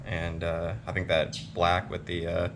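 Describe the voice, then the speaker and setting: A man casually walking through a home motorcycle project, relaxed and full of pauses. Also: low-pitched, noisy background. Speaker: motorcycle builder. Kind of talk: casual DIY build walkthrough. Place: home workshop.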